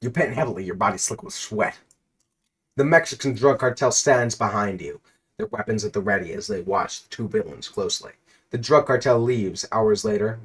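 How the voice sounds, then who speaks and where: Man reading an absurd story deadpan. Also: on the low side. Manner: deadpan narration, breaking into laughter.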